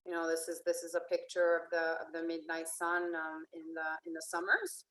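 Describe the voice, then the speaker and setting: A woman life coach from Finland giving a casual slideshow presentation, hesitant with many fillers and restarts, often trailing off softly. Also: low voice, flat delivery, brisk. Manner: trails off softly at phrase ends.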